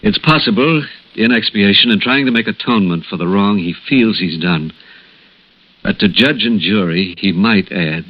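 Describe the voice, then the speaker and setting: An American actor playing a grave hospital doctor in 1960s radio drama, speaking in a measured, hesitant, solemn way. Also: male voice, lowish voice, close to an everyday voice. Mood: solemn, foreboding. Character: grave, hesitant male hospital doctor breaking bad news gently. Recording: dull lo-fi vintage radio recording.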